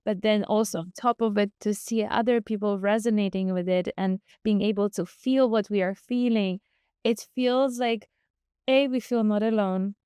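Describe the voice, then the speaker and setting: A woman's voice: mid-range voice, steady tone, moderate pace, warm tone, clear, resonant tone, no room sound, clean studio sound. A woman hosting a podcast who reflects earnestly and asks long questions. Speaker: podcast host. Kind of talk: podcast interview.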